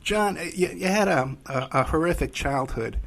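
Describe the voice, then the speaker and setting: A man's voice: fairly high pitch, normal speed, creaky, a bit bright, no room sound. A man hosting a podcast as a private investigator, talking loosely with frequent fillers. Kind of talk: casual podcast hosting and ad reads.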